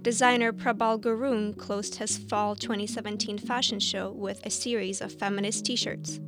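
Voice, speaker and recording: A woman narrating part of a news feature from a script, reading carefully and in a measured way. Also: medium pitch. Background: background music.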